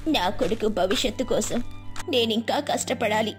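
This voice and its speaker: A woman's voice: a little high, normal speed, soft background music, close to an everyday voice. A woman voicing a poor, hardworking village mother, tired and loving, in a moral storybook tale. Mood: loving, determined.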